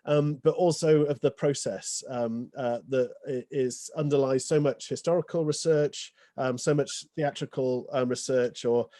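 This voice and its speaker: A man wraps up a panel discussion as its chair, measured and appreciative. His tone is mellow and dark, and the sound is dry and close. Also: mid-range voice, fairly even intonation, no echo.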